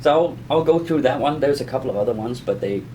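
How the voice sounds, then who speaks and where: A man giving an informal conversational lesson as an explainer, in a halting, rambling way with self-repetition. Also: mid-range voice, lively intonation, mellow, a little hiss or hum, rough recording. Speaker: audio explainer teaching beginners.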